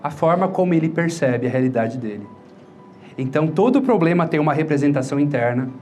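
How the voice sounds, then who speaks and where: A Brazilian man with a slightly high voice explains a method as an instructor would. He is didactic, posing questions and then answering them himself. Music plays faintly behind him. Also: expressive, fairly quick, a little mellow. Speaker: hypnotherapist and instructor. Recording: music playing under the voice. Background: background music.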